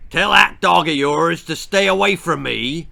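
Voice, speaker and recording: A man voicing a gruff, menacing farmer with a deep voice and an English rural dialect, in a children's horror-comedy storybook. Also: a little slow, sharp tone, heavily acted. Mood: threatening.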